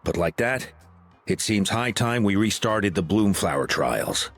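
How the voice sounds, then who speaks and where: A seasoned warrior chief with a lower voice, speaking firmly and with measure in a video game. Soft music plays behind him. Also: male voice, sing-song, full character voice. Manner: firm, measured.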